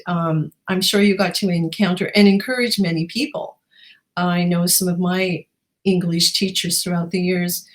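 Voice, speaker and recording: A woman's voice: fairly low pitch, rough recording. A woman hosting a book show, introducing her guest and asking questions in a conversational interview style. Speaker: talk show host interviewing an author.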